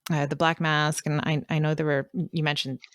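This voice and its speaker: A woman's voice: deep voice, flat delivery, moderate pace, dry recording, clean studio sound. A woman hosting a podcast, interviewing conversationally with tentative, thoughtful phrasing. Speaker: podcast host.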